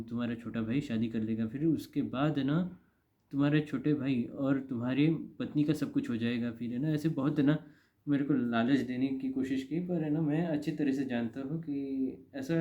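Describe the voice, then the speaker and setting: An Indian man in his thirties giving a casual, confessional monologue to camera, rambling and repeating phrases for emphasis. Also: mid-range voice. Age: 30s. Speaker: vlogger. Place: indoor room. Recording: dull, lo-fi.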